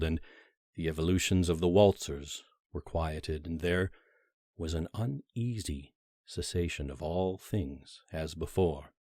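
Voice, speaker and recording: Deep-voiced man narrating dramatically, close and dry. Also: no echo. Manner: measured, theatrical phrasing with dramatic pauses and hushed drops. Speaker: narrator reading a classic horror story aloud. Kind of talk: dramatic literary audiobook narration.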